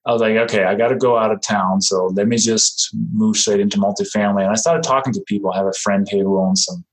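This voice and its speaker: A man in his forties from Denmark with a Danish accent, speaking as a podcast guest in a relaxed, halting way with many fillers and restarts. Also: fairly low pitch, poor audio. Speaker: guest, real estate investor. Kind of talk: casual interview talk.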